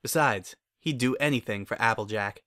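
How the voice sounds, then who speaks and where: A man's voice: medium pitch, moderate pace, a bit bright. A male narrator with a mid-range voice reads scripted fiction. The delivery is a dramatic storytelling read.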